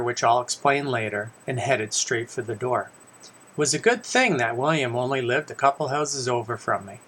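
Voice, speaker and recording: A man's voice: medium pitch, sharp tone. A man reads his own children's story aloud in the first person, conversationally, with casual asides and small self-corrections. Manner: conversational, stumbles and corrects himself.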